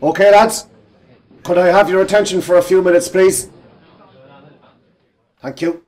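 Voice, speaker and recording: An actor playing a stern company boss in a radio play, measured, formal and businesslike. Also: male voice, high voice, steady tone, a little mellow. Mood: formal, firm.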